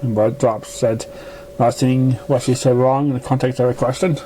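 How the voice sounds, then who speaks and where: A man reading a story aloud in a halting way, stumbling over words now and then. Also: mid-range voice, level tone, warm tone, slight background noise, low-quality recording. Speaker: amateur fan fiction reader.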